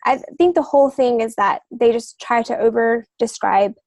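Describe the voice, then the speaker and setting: A woman's voice: fairly high pitch, moderate pace, darkish tone, no echo, clean studio sound. A woman co-hosting a podcast, explaining earnestly in casual talk. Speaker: podcast co-host, author.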